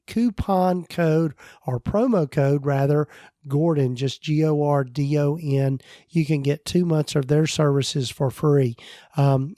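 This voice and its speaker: Hoarse middle-aged man, podcast host, mellow voice, dry close sound, rambling delivery. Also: fairly high pitch, dry recording. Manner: loose, rambling, with fillers and self-corrections. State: voice going hoarse. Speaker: podcast host and practice consultant. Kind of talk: solo podcast talk.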